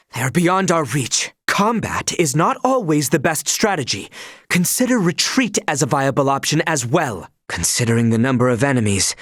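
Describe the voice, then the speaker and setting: A man's voice: mid-range voice, no room sound, clean studio sound. A man voicing a dutiful, stern young attendant to royalty in a video game, speaking in formal, archaic phrasing. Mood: urgent, grave. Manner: formal, archaic, courtly diction. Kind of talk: video game character voice lines.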